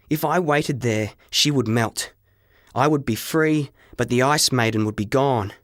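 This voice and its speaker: Man, audiobook narrator, mid-pitched, dramatic first-person storytelling, expressive, dry recording. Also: dry recording.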